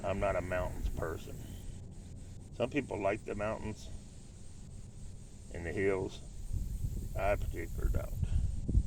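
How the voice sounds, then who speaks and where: A man's voice: sits low, fairly even intonation. A man in his fifties from Ohio with a Southern accent picked up in Tennessee, rambling through old fishing stories in a folksy, loose way while he fishes outdoors. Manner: folksy, drawn-out reminiscing with loose grammar. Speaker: fishing vlogger. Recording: distant mic, clear outdoor noise.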